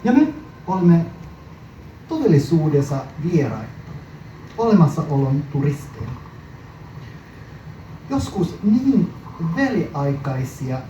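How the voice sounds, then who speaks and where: A man reading his own poem at a live indoor performance, deliberately and in short phrases with frequent pauses. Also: on the high side, warm tone, echoey room. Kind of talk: poetry recital, performance reading. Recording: muffled, noisy live recording from far off.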